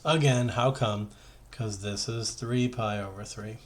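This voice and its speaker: A man's voice: fairly low pitch, fairly even intonation, unhurried. A man giving an informal math lesson as a teacher, talking conversationally and thinking aloud as he works through steps. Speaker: high school math teacher.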